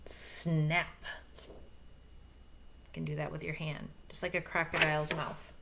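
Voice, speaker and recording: A woman's voice: low-pitched, level tone, takes it slowly, gravelly, very noisy. A woman reading a picture book aloud to young children, expressively, with explanations and questions along the way. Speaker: storybook reader.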